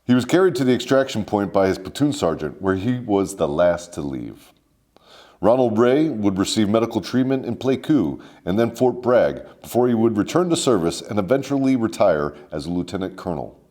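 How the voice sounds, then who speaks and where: A man's voice: lowish voice, big pitch swings, moderate pace, mellow. A man hosting a scripted comedic military history story, mock-formal and sardonic with salty slang. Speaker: host and storyteller.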